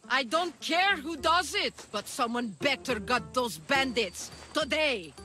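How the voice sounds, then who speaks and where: A woman's voice: high voice, lively intonation, a little slow, bright, forceful tone, exaggerated voice. A woman playing a tough, impatient bow-maker in a video game, brusque and commanding. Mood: threatening, impatient. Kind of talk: video game cutscene acting.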